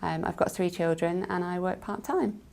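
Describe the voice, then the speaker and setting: Smooth-voiced woman who pauses on ums. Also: fairly low pitch, steady tone, darkish tone. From born in Ireland, raised in Kent. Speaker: working mother.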